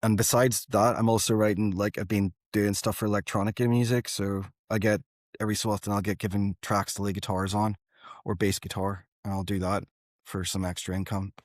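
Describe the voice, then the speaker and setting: A man's voice: fairly low pitch, little pitch movement, brisk, dry recording, clean studio sound. A man in his thirties chatting casually as an interview guest, earnest and informal, often rambling and breaking off phrases to start again. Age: 30s. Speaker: guest, rock band singer and guitarist.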